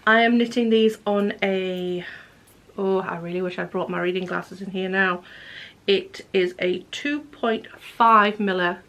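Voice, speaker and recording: Woman knitting podcaster, bit hoarse, rambly chatty, drops to whispers sometimes. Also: medium pitch, fairly bright tone. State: slightly hoarse. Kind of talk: casual chat. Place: home craft room.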